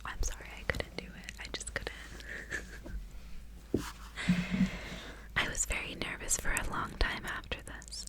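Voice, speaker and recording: A woman reading aloud in a soft, intimate, breathy whisper, close to the microphone in an ASMR style. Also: poor audio. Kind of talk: whispered ASMR audiobook reading with casual asides.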